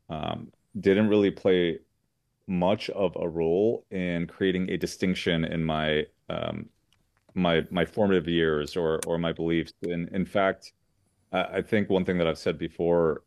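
An American man from Southern California, a lawyer speaking as an interview guest, earnest and measured with many fillers. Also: low-pitched, a little mellow, dry recording, clean studio sound. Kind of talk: interview conversation.

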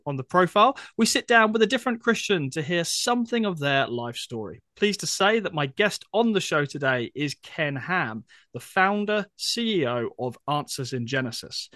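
Male radio host, slightly high pitch, close dry studio mic, measured and smooth. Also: a bit bright, dry recording. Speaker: radio show host, magazine editor. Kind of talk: scripted radio introduction, interview.

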